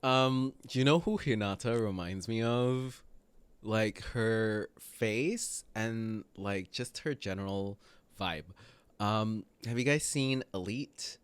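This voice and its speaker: A man's voice: fairly low pitch, takes it slowly, clean tone, no room sound. A man giving casual reaction commentary as a video host, thinking aloud in a hesitant way.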